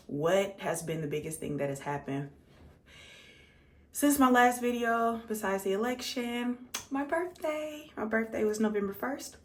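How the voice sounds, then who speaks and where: A 35-year-old American woman chatting casually and conversationally to her viewers as a makeup vlogger, repeating words for emphasis. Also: medium pitch. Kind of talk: casual chat to viewers while doing makeup.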